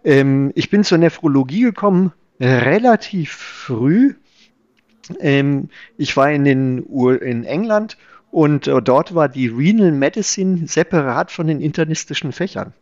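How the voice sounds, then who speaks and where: A man's voice: a little high, lively intonation, unhurried, darkish tone, dry recording. A middle-aged man from Germany, a chief physician, explaining his work in a conversational podcast interview with frequent hesitations. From Germany (Palatinate-born, raised in Berlin). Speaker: guest, chief physician in nephrology. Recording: dull, dry remote recording.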